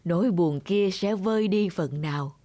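An actress playing a gentle, devoted elderly wife in a cartoon, speaking earnestly and tenderly. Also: female voice, fairly low pitch, moderate pace, no echo, lightly acted. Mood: earnest, wistful. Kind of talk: cartoon dub acting.

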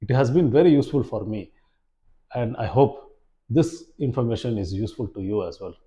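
A man in his forties presenting an instructional fishing demonstration in a measured, step-by-step way, recorded indoors. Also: medium pitch, animated, dark tone. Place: small plain room indoors, seated at a table.

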